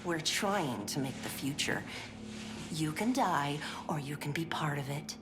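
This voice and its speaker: A chirpy 1950s clinic worker, sugary and polite but visibly fraying and pill-addled. Her voice sits a little lower than you'd expect, and she drops into breathy whispers. A steady hiss of background noise runs under her lines, as in a game voice-line rip. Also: unhurried. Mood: frayed. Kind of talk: scripted game voice acting.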